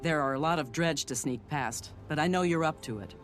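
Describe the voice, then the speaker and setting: An actor playing a confident male assassin of a secret order in a fantasy video game, deliberate and coolly eager. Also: fairly high pitch, close to an everyday voice. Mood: confident, approving. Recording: prominent music behind the voice.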